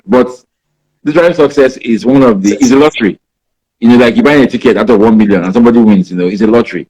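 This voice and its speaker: A Nigerian man in his fifties, a native Igbo speaker, answers interview questions about writing in a rambling way, filling pauses with "you know". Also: mid-range voice, a little mellow, slightly rough, poor audio. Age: 50s. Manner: rambling, many "you know" fillers and restarts. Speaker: writer being interviewed.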